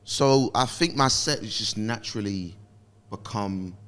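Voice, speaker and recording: A young man with a London accent, a guest comedian in relaxed conversation, laid-back and quick to laugh. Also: on the low side. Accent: London English. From England. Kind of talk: casual chat.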